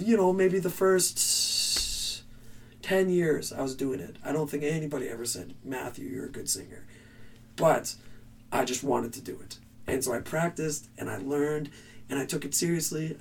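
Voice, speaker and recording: Raspy male band vocalist, earnest rambling talker, slightly high voice, off-mic. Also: darkish tone, far from the mic. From Canada. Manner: rambling, earnest advice with restarts. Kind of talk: casual interview answers.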